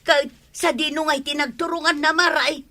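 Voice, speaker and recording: Woman acting bright, high Ilocano village wife. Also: steady tone, normal speed. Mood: exasperated. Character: exasperated village wife complaining about her husband. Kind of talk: Ilocano comedy drama acting.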